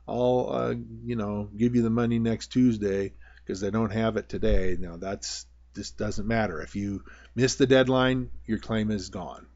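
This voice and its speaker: Low-voiced man narrating an informal tutorial, muffled sound. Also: steady tone, unhurried, a little mellow. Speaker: prospector giving a tutorial. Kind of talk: informal narration over slides. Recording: dull, lo-fi voice-over.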